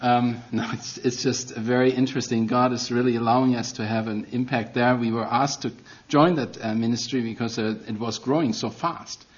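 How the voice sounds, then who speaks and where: A man's voice: medium pitch, fairly even intonation, normal speed, few pauses. German-accented guy preaching, earnest, kinda dull lo-fi sound. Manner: earnest and self-deprecating, with non-native phrasing. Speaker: guest preacher. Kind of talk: sermon.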